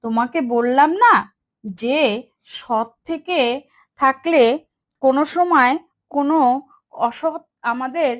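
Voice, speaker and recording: A woman's voice: high-pitched, slow pace, long pauses. A woman playing a woodcutter's wise, pious young daughter in a cartoon fairy tale, earnestly instructing. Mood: earnest, moralizing.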